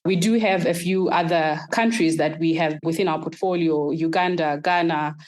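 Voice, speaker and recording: A woman from Zimbabwe, answering podcast interview questions in a measured, structured way. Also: sits low, fairly even intonation, darkish tone. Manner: measured, structured, explains in lists. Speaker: guest, startup accelerator executive.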